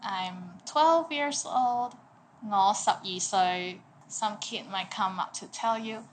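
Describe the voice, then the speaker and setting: A woman's voice: mid-range voice, takes it slowly. Young woman teacher, casual and jokey, light room noise. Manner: playful, jokey, swings between loud and quiet. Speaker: language teacher. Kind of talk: casual language lesson.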